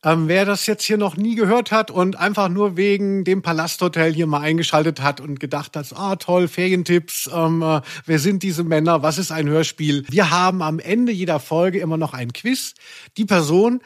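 A man's voice: fairly high pitch, normal speed, no echo, clean studio sound. A man hosting a chatty podcast and running a quiz in a playful, tongue-in-cheek way. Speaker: podcast host and quizmaster. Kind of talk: casual chatty podcast talk.

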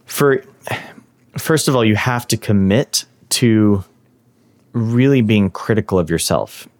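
A man's voice: fairly low pitch, lively intonation, takes it slowly, darkish tone. A man who is a guest in an interview, explaining his advice on learning languages in a thoughtful, step-by-step way. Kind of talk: interview answers.

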